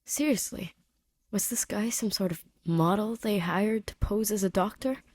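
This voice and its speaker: A woman's voice: mid-range voice, unhurried, a little mellow, no echo. A woman narrating a scripted story expressively as a reader of fan fiction.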